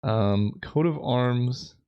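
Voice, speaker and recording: Hoarse man thinking aloud. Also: medium pitch, very slow, lo-fi sound. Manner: thinking aloud, many "um" and "like" fillers. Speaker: gaming video creator. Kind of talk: casual commentary while drafting a card game.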